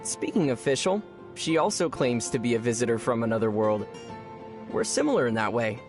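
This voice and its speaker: Game hero: young wandering traveler, mid-pitched, measured and wry, music behind. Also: male voice, big pitch swings. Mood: calm, thoughtful. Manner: measured, dry teasing. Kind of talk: English video game voice acting.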